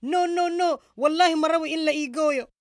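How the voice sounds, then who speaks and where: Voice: sits high, brisk, no room sound, studio quality, natural voice. A performer voicing a stubborn, naive puppet man with a Somali accent, blunt and insistent, in a comedy puppet show. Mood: panicked.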